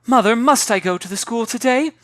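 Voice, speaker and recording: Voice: mid-range voice, brisk, a bit bright, no echo, pitched above the performer's own voice, lightly acted. The narrator putting on a chatty, imaginative young schoolgirl who babbles eagerly and dramatically in a storybook reading. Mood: eager, babbling. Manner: babbling, breathless run-on chatter.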